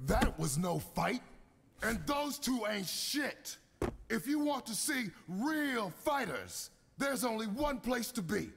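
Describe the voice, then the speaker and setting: An actor playing a menacing, boastful middle-aged crime boss and fight promoter in a video game cutscene, taunting and domineering. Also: male voice, sits high, gravelly, slight background noise, full character voice. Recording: voice in long hall reverb under loud music.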